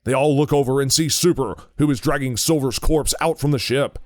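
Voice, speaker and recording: A man's voice: mid-range voice, brisk, dry recording, soft background music. A man giving scripted narration for an audio drama as its narrator.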